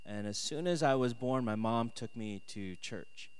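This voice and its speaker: A man's voice: mid-range voice, measured pace, clean tone. A man from South Korea who moved to the US as a child, preaching to students in a conversational sermon style, often checking in with his listeners. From South Korea, moved to the US at ten. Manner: conversational, punctuated with "right?" and "okay?". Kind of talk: sermon with scripture reading and personal testimony. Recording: echoey hall with long reverb.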